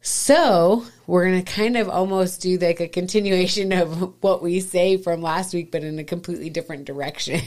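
A middle-aged woman co-hosting a podcast in casual chat, hoarse and raspy from a cold, chatty and quick to laugh. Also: fairly low pitch, darkish tone. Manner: laughs often, chatty and playful. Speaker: podcast co-host.

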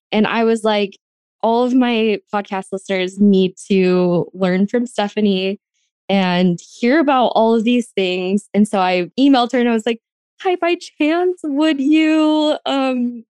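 A woman's voice: medium pitch, darkish tone, clear, resonant tone, no room sound. An American woman from rural Arizona hosting a podcast in a casual, gushing, conversational way. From rural Arizona, United States. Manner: enthusiastic, gushing. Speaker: podcast host.

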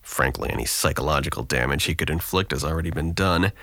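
A man's voice: extremely low pitch, fast, fairly bright tone, no room sound. An actor playing a depressed, guarded young man in an audio drama, with a dry, weary, self-deprecating manner. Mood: sardonic, exasperated.

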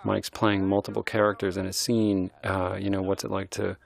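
A middle-aged man, a film director, giving an admiring, halting film commentary. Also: low-pitched, little pitch movement, creaky. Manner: thoughtful, admiring, halting restarts.

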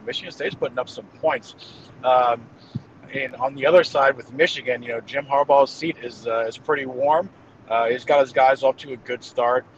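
Male football analyst, mid-range voice, halting and conversational predictions, muffled audio with faint noise. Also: mid-range voice. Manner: hesitant, thinking aloud as he goes. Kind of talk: casual game-prediction commentary.

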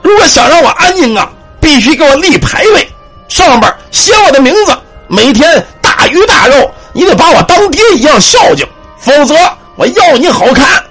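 A man's voice: extremely high pitch, very animated, normal speed, a bit bright, faint music behind, pitched above the performer's own voice, heavily acted. A man storyteller voicing a furious old man who scolds and accuses the one who knocked him into a well. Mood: demanding. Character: furious, bullying old man mistaken for a ghost.

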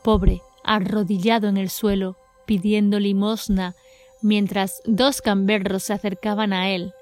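Female narrator, medium pitch, scripted storytelling, soft music behind. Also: level tone, a little slow.

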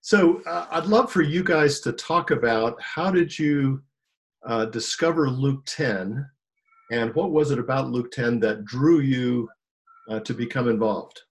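A man's voice: mid-range voice, a little slow, poor audio. A man hosting an interview over a video call, talking with frequent ums and uhs. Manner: hesitant, many ums and uhs. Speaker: host.

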